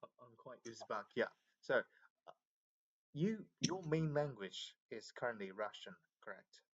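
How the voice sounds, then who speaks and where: A man's voice: mid-range voice, animated, measured pace, slightly rough, dull audio. A man from Myanmar, chatting casually in English with many fillers. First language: Burmese. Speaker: English learner. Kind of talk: casual video chat.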